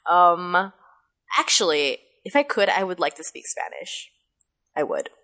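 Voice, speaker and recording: Podcast host, woman, youthful kid-like voice but pitched a bit low, first language Mandarin, rambly and chatty. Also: sing-song, a little slow, bright, forceful tone. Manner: chatty, rambling, laughs often. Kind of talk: casual podcast chat.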